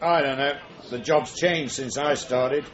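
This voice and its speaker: A man's voice: medium pitch, unhurried, fairly bright tone, close to an everyday voice. A man playing a middle-aged, cynical, wisecracking chief inspector in a naturalistic radio drama, with a blunt, bantering manner. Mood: weary grumbling. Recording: dull 1980s radio drama recording, music.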